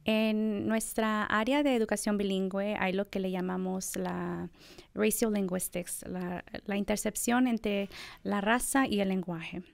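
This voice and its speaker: A woman's voice: mid-range voice, fairly even intonation, measured pace, creaky, dry recording. A middle-aged woman, a professor, speaking thoughtfully in an interview with many fillers. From United States. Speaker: assistant professor of bilingual education. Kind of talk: conversational interview.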